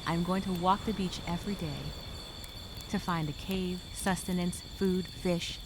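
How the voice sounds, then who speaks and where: A woman performing a stranded castaway speaking a journal aloud. She is wry and weary, with a sarcastic streak of hope. Crickets and insects chirp clearly behind her. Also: fairly low pitch, fairly even intonation, measured pace, noisy background, natural voice. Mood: weary musing. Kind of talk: dramatic first-person journal monologue.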